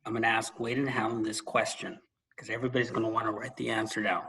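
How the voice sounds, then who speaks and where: A man hosting a conversational interview, speaking emphatically with deliberate pauses for effect. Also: fairly low pitch, little pitch movement, sharp tone. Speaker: interview host.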